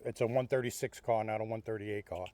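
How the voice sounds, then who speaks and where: A man's voice: on the low side, steady tone, fast talker, dark tone. Older man chatting plainly. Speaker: guest car owner. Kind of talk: casual chat.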